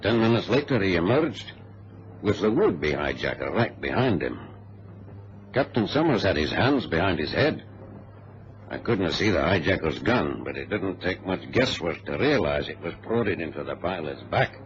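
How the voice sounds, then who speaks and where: Deep, dramatic radio play narrator. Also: male voice, big pitch swings, moderate pace. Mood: grave, suspenseful. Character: male passenger recounting a hijacking gravely. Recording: dull vintage radio recording, faint noise.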